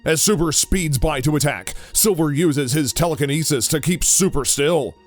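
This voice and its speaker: A man's voice: fairly high pitch, normal speed, soft background music. A man reading scripted narration for an audio drama.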